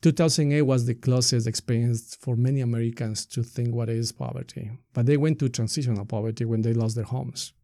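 Gravelly man with an Ecuadorian Spanish accent. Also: mid-range voice, steady tone, fairly quick, hardly any pauses, dark tone, no echo, studio quality. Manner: earnest, explanatory, uses rhetorical questions. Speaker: nonprofit staff member being interviewed. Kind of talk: reflective interview answers.

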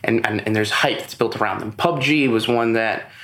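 A man's voice: fairly low pitch, normal speed, a bit bright, reverberant. A man being interviewed as a guest, explaining at length in a loose, conversational way with many fillers. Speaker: interview guest, business owner. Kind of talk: casual interview chat. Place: room with audible echo, recorded in person.

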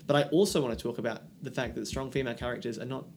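A man hosting a casual interview in an earnest, halting manner, with a creaky voice. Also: mid-range voice, darkish tone, a little hiss or hum. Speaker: podcast host and interviewer.

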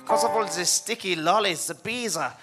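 An anxious, earnest German storybook man, put on by a male comedian, speaking in a German accent and a fairly high male voice. It is improvised comedy with loud music underneath. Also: a little fast, close to an everyday voice. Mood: worried. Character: anxious, earnest German man defending his fairy-tale hideout.

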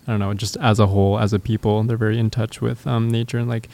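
A man's voice: lowish voice, level tone, quick pace, vocal fry, dark tone. A man who grew up partly in Japan, talking in a casual group chat, hesitant with many fillers. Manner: hesitant, many ums and likes.

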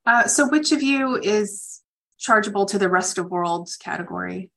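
A woman speaking as an attorney, answering callers' questions in a measured, matter-of-fact, explanatory way. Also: medium pitch, gravelly, a bit bright. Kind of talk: advisory question-and-answer on a conference call.

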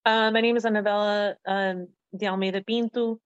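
A woman's voice: medium pitch, fairly even intonation, moderate pace, clear, resonant tone, dry recording. Woman from the us introducing herself, dead dry muffled mic, kinda hesitant. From United States. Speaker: panelist. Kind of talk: self-introduction.

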